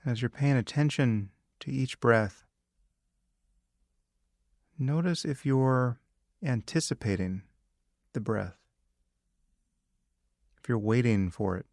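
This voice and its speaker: Slow, gravelly-voiced man guiding meditation. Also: medium pitch, no echo, studio quality. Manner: calm, measured instructions with long pauses. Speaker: meditation guide. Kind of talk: guided meditation.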